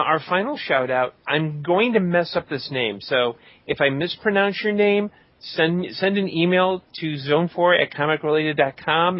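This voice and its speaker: Guy hosting a podcast, jokey casual chat, highish voice, kinda dull lo-fi audio. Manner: joking, tongue-in-cheek. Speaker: podcast host. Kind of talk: casual chat reading out listener shout-outs. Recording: dull lo-fi voice recording.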